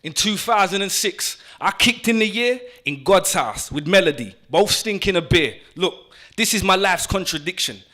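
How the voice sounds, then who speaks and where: High-voiced man performing punchy spoken word. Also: animated, normal speed, bright, forceful tone. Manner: rhythmic, punchy list delivery.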